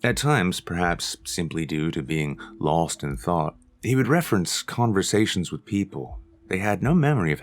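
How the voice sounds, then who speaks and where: A man reading a short story aloud as its narrator, in a measured, even storytelling manner. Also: very deep voice. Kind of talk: scripted audiobook narration.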